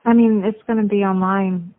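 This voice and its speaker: A woman in a casual private phone call, talking in a worried, halting, questioning way. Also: mid-range voice, fairly even intonation. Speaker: caller talking with a jail inmate. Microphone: telephone. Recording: tinny, band-limited phone line.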